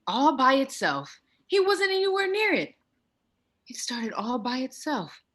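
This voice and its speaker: A woman plays a worried suburban mother in a suspense radio drama. Her voice is high and moves up and down a lot. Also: big pitch swings, unhurried, a bit bright, exaggerated voice. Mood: uneasy. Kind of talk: radio play acting.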